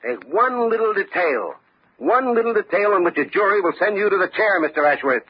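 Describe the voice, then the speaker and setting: An actor playing a shrewd, unflappable police lieutenant in a radio detective drama, speaking methodically and with confidence. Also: male voice, sits high, very animated, a little fast, husky, heavily acted. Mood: coolly accusing. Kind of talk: old-time radio detective drama acting. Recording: dull vintage radio recording.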